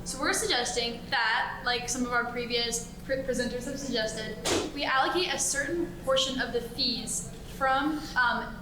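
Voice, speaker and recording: Higher-voiced young woman, echoey room. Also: fairly even intonation, moderate pace. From North Carolina, United States. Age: young adult. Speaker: student presenter. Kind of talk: group project presentation. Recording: echoey room with clear noise.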